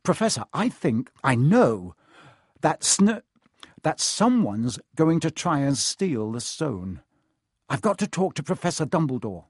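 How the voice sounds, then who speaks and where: A man's voice: on the high side, very animated, a little slow, husky, no room sound, clean studio sound, exaggerated voice. A man voicing an earnest schoolboy in a storybook reading, anxious, urgent and insistent. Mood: frantic, pleading.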